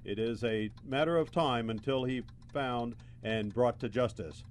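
An earnest reporter acted by a young man gives a mock field report in a medium-pitched voice. The delivery is announcer-like, with faint noise behind. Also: a little slow, lightly acted. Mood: earnest, formal. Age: young adult. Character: earnest male field news reporter who admires heroes. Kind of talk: mock news report in student radio theatre.

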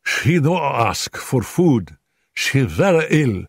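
A man's voice: mid-range voice, big pitch swings, slow pace, vocal fry, slightly rough, no echo, studio quality, pitched above the performer's own voice, heavily acted. A man voicing a frightened, indignant young maid who speaks broken English, in an audiobook reading. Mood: frightened, insistent. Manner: broken English, urgent pleading.

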